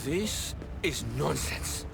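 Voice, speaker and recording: Gravelly, high, defiant merchant. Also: male voice, animated, extremely slow, music in the background, full character voice. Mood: indignant protest. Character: defiant, indignant accused merchant. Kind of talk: video game dialogue acting.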